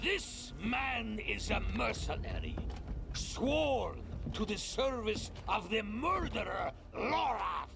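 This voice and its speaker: Very gravelly zealot knight. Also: male voice, high voice, sing-song, full character voice. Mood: accusing. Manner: solemn, declamatory, slow. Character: zealous battle-scarred male holy knight. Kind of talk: cinematic fantasy game acting. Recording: music behind.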